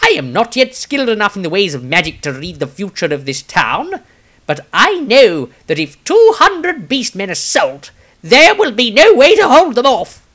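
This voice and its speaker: A man puts on an excitable apprentice wizard for a fantasy story. The voice is pushed high and loud, swinging about with earnest, emphatic worry. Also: normal speed, heavily acted. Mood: worried.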